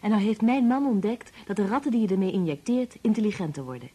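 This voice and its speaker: A woman's voice: mid-range voice, big pitch swings, quick pace, dark tone, breathy edge. An actress playing a sensible, kindly married woman in a Dutch radio drama. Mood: explanatory.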